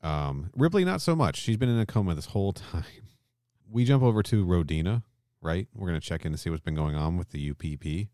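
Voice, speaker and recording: Podcast host, male, very deep voice, dry recording. Informal recapper, a little sweary, keeps checking for agreement. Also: lively intonation, brisk, warm tone, no echo. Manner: colloquial, mildly sweary, often seeking agreement. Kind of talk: casual chat, recapping a story.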